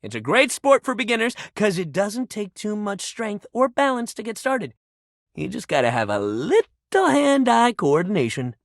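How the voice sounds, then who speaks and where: Upbeat young jock, video game character, hugely varied intonation, slangy buddy-coach delivery, dry studio sound. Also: male voice, sits high, big pitch swings, normal speed, no echo. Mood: friendly, instructive. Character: upbeat, sporty young badminton-loving dude, animated shuttlecock.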